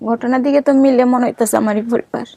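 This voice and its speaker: A woman's voice: fairly high pitch, fairly even intonation, fast talker, creaky, dark tone, dry recording. A middle-aged woman, a guest seeking family, asking questions in an earnest conversational way.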